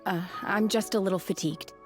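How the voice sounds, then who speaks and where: A woman's voice: mid-range voice, fairly quick, faint music behind, natural voice. A woman playing an aloof, solemn young hermit in a video game, reserved, subdued and formal in speech. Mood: subdued, weary.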